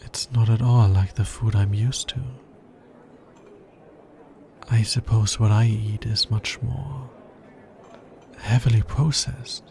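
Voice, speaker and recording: A man playing an anxious, logical alien scientist in a soft, intimate ASMR roleplay, speaking in a hesitant, formal way. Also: lowish voice, steady tone, slow pace, vocal fry, pauses often, slight background noise. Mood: hesitant, uneasy.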